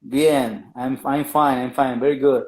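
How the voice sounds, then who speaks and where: A man with an Argentine accent hosts a casual live interview, switching between Spanish and careful, halting English. His voice sits at an ordinary middle pitch and carries a noticeable roomy echo. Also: fairly even intonation. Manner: halting, searching for English words.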